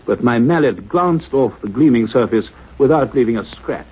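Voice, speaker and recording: Earnest scientist, slightly husky voice. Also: male voice, mid-range voice, lively intonation, a little mellow. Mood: measured, grave. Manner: precise, deliberate, formal. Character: earnest male scientist doctor narrating his investigation. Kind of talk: radio play acting and narration. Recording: dull, lo-fi radio drama recording.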